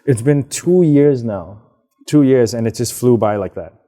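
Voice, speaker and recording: A young man from Hong Kong, answering interview questions in a relaxed, rambling way with frequent fillers. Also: medium pitch, unhurried. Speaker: guest, business founder. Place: outdoors, karaoke nearby.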